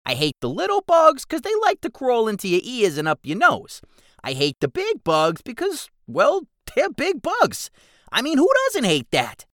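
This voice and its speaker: A man voicing a big, bald, complaining male goblin in a storybook reading, with a high, squeaky voice and a whining, rambling manner. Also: wildly swooping pitch, normal speed, fairly bright tone, no room sound, studio quality, full character voice. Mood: whiny rambling. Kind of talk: audiobook character voice.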